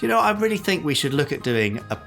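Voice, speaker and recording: A man's voice: on the high side, sing-song, fast, gravelly, bright, forceful tone, soft background music. A British man co-hosting a podcast in a casual, conversational style. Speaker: podcast co-host.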